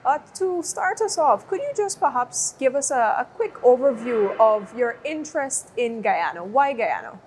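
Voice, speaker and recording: Female interviewer, higher voice, courteous and a little hesitant. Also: very animated, moderate pace, few pauses, a little hiss or hum. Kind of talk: interview questions.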